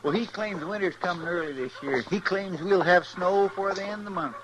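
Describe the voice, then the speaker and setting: A simple, chatty frontier sidekick acted by a man with a rural Western American drawl. His voice sits a little high, on a noisy old radio western recording. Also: brisk, lo-fi sound, natural voice. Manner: drawling, folksy.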